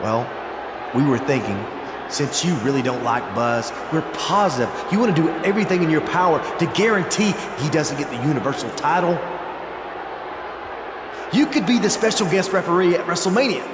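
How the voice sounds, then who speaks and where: In a reverberant, noisy space, a man acts out an eager, scheming pro wrestler from a video game. His voice sits fairly high and moves around with lively pitch, persuasive and keen to please. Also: moderate pace, lightly acted. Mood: eager, persuading. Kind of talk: video game cutscene dialogue. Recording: echoey hall with clear background noise.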